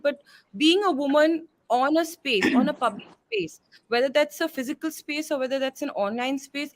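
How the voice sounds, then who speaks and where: Woman, moderator, somewhat high voice, conversational, "uh" fillers, video call. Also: a bit bright. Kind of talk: panel discussion moderating.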